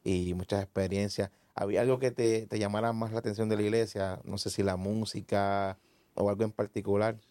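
A man with a somewhat low voice hosts a faith podcast. He prompts his guest in an encouraging way and fills in the words the guest is looking for. The sound is dry and close. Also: fairly even intonation, fairly quick, dark tone, no room sound. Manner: encouraging, finishes the guest's sentences. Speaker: podcast host and interviewer.

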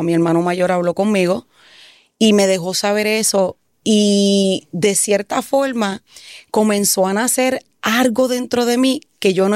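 A woman's voice: mid-range voice, a little mellow, no echo, studio quality. A Puerto Rican woman telling her faith testimony in an interview, earnest and saying amen often. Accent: Puerto Rican Spanish. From Puerto Rico. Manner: earnest, answers with frequent "amén". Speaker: guest giving a testimony.

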